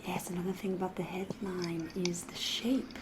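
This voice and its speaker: Woman acting breathy, flirtatious vampire lady, noisy ambience. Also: on the low side, level tone, moderate pace, lightly acted. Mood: flirtatious, intimate. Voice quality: breathy, often whispering. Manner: genteel, old-fashioned, formal phrasing. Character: flirtatious, mysterious vampire woman posing as a genteel villager. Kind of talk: whispered ASMR roleplay.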